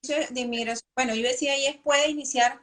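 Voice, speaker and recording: A woman's voice: high-pitched, fairly even intonation, fast talker, fairly bright tone. A woman hosting a live interview, asking long, winding questions with many fillers. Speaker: host.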